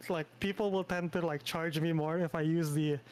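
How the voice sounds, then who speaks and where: A man's voice: fairly high pitch, fairly even intonation, normal speed, a crowd in the background, low-quality recording. A young Filipino man chatting casually on a stream, with lots of fillers and restarts. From Philippines. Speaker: streamer and VTuber host. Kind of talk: casual collab stream chat.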